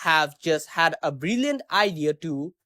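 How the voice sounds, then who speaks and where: A man's voice: a little high, expressive, a little slow, pushed and bright, no room sound, studio quality. A man playing a boastful, bumbling space captain in a comedy radio drama, talking in a pompous, self-important way.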